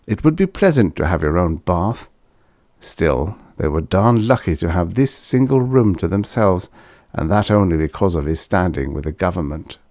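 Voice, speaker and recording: A man's voice: low-pitched, lively intonation, normal speed, a little mellow, no echo, studio quality. A man reading vintage science fiction aloud as an audiobook narrator, in a scripted storytelling style.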